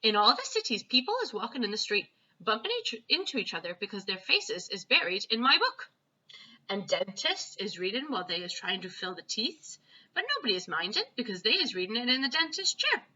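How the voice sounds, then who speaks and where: A woman reads as an excitable, bragging storybook child with childish broken grammar. The delivery is very bright and forceful, on a slightly dull lo-fi recording. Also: medium pitch, brisk, breathy edge, full character voice. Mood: boastful, excited. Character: excitable boastful child recounting wild dreams, ungrammatical. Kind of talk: children's storybook read-aloud.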